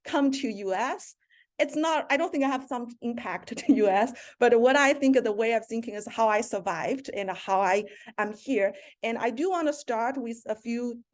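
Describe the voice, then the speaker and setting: Woman speaker, somewhat high voice, halting delivery with restarts, heard over a video call. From China. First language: Mandarin. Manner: halting, frequent restarts and self-corrections. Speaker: seminar speaker, professor. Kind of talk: virtual seminar talk.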